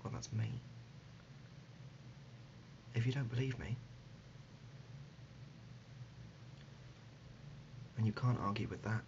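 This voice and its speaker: A man playing an absurd, deadpan dad in an ASMR comedy roleplay, speaking haltingly and gently, often dropping to a whisper. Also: lowish voice, close to an everyday voice. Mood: deadpan insistent. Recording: dull lo-fi audio, loud background noise.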